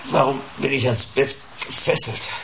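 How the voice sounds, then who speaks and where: An actor playing a wry, terse, hard-boiled private detective in a radio drama. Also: male voice, mid-range voice, level tone, normal speed, gravelly, background noise, natural voice. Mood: wry, suspicious.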